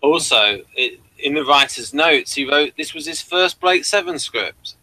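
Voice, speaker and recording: A man co-hosting a casual chat, chiming in with quick, brief remarks. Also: fairly high pitch, expressive, bright, forceful tone, no echo, poor audio. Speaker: podcast co-host.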